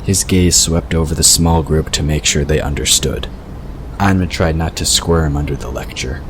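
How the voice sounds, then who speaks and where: A man's voice: rumbling low, moderate pace. A man reading scripted audiobook narration of a story. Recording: clear noise behind the voice. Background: ambient sound effects.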